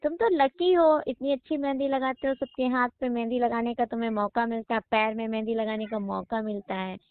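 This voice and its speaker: A woman's voice: fairly high pitch, fairly even intonation, fairly quick, clear, resonant tone, no echo, lightly acted. A woman playing a flirty, coaxing customer phoning a henna artist, talking casually and persuasively. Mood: flattering, reassuring. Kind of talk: casual phone-call role-play. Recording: dull, lo-fi phone-call audio.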